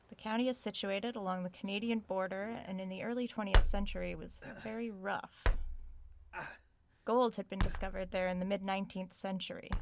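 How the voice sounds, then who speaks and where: An actress playing a curious, self-aware researcher in an audio drama, recording a spoken log in a conversational, measured way. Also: female voice, mid-range voice, gravelly, slight background noise, natural voice. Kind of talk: audio drama log, reading aloud and musing.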